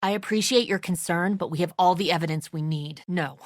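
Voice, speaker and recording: A woman's voice: fairly low pitch, brisk, no echo, studio quality, natural voice. An actress playing a tense, suspicious woman survivor of a zombie apocalypse in a video game, blunt and accusatory in manner. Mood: urgent, accusing. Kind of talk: dramatic video game acting.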